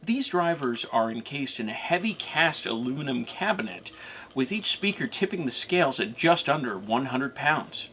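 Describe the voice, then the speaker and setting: A man with a mid-pitched voice reads scripted, informational narration for a review. His intonation is very lively, and faint noise sits behind him. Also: moderate pace. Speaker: co-host and narrator.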